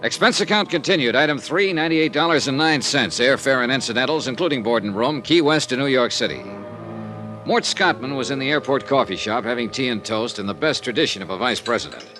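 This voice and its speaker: An American actor playing a wry, hard-nosed insurance investigator in a 1950s radio drama, clipped and businesslike. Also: male voice, medium pitch, animated, brisk, pushed and bright, soft background music. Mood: dry narration. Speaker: lead actor and narrator of a radio detective series. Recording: vintage 1950s radio recording.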